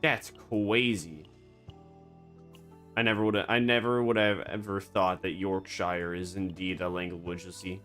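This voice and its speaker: A man streaming a game, rambling and joking in absurd deadpan banter. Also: sits low, unhurried, faint music behind. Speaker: VTuber streamer. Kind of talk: casual gaming stream chatter.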